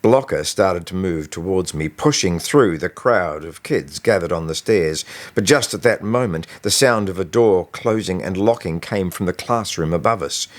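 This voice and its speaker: A man with a low-pitched voice reads his own story. He delivers it as an expressive audiobook narration, acting as storyteller. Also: moderate pace, hardly any pauses. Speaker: author reading own novel.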